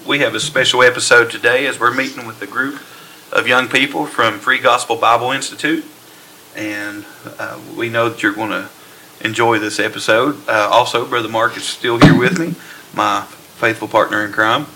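A man's voice: medium pitch, steady tone, sharp tone, slight background noise, low-quality recording. A man hosting a podcast, chatting casually with guests and using many fillers. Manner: friendly, halting with fillers. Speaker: podcast host. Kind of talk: casual interview chat.

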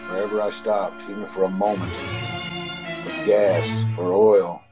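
A man's voice: low voice, little pitch movement, measured pace, over music. A man playing a desperate, haunted driver, narrating a radio suspense drama in a dramatic, pleading manner. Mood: haunted, grave. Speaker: actor in an old-time radio troupe. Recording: amateur video call recording.

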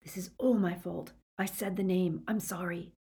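A woman voicing a tense teenage boy held captive in an audiobook, muttering and hushed, in pain. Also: medium pitch, takes it slowly, voice pushed up, close to an everyday voice. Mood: hushed, guilty. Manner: muttering, whispering.

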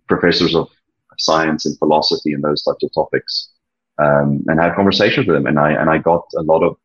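A young South African man with a deep, husky voice speaks earnestly about his past, often stopping and restarting mid-thought. Afrikaans is his first language, and the recording sounds dull and lo-fi. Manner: earnest, halting, many restarts. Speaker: interview guest. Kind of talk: personal testimony, reflective.

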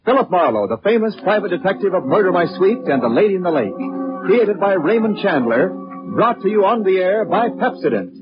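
A man's voice: high-pitched, big pitch swings, brisk, loud music behind the voice. An American man announcing and advertising on 1940s radio in an emphatic, selling manner. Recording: muffled vintage radio recording.

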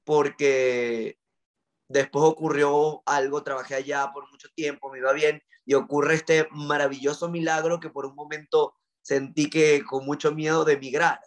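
Earnest Venezuelan man, higher voice. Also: little pitch movement, normal speed. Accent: Venezuelan (Maracaibo). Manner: earnest, reflective, with frequent fillers. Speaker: journalist guest. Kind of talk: interview storytelling.

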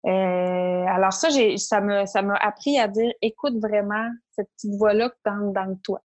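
A woman from Quebec with a Québécois French accent, an entrepreneur telling her story in an interview, lively and animated, often quoting her own inner voice. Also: medium pitch, a little slow. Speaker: entrepreneur guest. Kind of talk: interview conversation.

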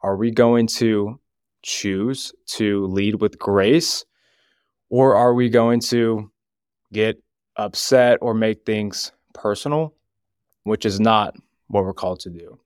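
A man speaking earnestly and deliberately on a podcast, with a low voice. He leans on his words and slows down for scripture. The recording is close and dry. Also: fairly even intonation, darkish tone, clean tone, dry recording. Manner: jokey, then earnest and deliberate. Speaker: youth ministry leader, podcast guest. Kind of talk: casual podcast chat with scripture reading.

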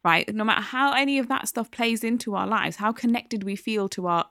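A woman's voice: fairly high pitch, brisk, pushed and bright, dry recording, clean studio sound. A British woman in her thirties co-hosting a podcast, speaking in a direct, impassioned way. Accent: British English. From Britain. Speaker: podcast co-host. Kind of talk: casual podcast discussion.

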